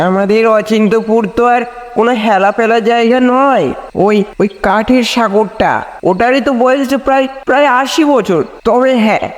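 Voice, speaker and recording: A man voicing a chattering, proud village boatman in a cartoon ghost story, speaking in rustic colloquial style. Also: extremely high pitch. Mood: chatty, proud. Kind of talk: cartoon horror story dialogue. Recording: long reverb, echoing space.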